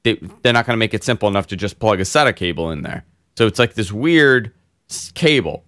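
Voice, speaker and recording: A man hosting a casual tech podcast, hoarse and congested, telling long rambling stories full of fillers. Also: on the low side, a little fast, no room sound, clean studio sound. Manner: rambling, animated storyteller with many fillers. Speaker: host.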